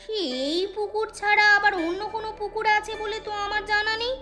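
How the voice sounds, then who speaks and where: A woman voicing a gossipy, sharp-tongued village housewife in a cartoon folk tale, with a teasing, chiding manner. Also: extremely high pitch, fairly quick, over music. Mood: curious, grumbling.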